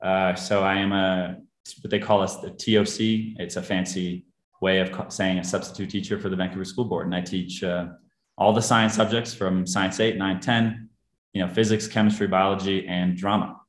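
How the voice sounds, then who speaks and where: A man born in Brazil whose first language is Portuguese, talking casually as an interview guest. His voice sits a little low and he tells his story in a relaxed, rambling, self-deprecating way. The sound bounces around a roomy space. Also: moderate pace. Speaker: guest actor.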